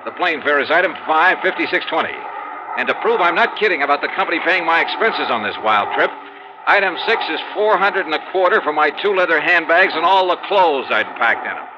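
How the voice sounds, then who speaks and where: A man's voice: a little high, lively intonation, background noise, natural voice. An American man playing a harried, wisecracking insurance investigator in a 1950s radio detective drama. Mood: wry, harried. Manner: brisk, wry first-person storytelling. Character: wisecracking, harried male insurance investigator narrating his case. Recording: dull vintage radio recording, music.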